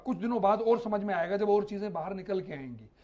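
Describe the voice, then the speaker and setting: A man giving unscripted political commentary as a panel analyst, explaining haltingly with hesitations and repetitions. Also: high-pitched, animated, a crowd in the background. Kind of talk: unscripted television debate commentary. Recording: slightly dull, lo-fi broadcast audio.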